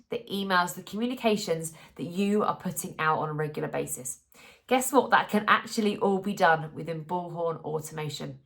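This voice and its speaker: A woman in her thirties presenting a conversational software tutorial, explaining things clearly step by step. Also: medium pitch. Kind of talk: instructional tutorial narration, conversational.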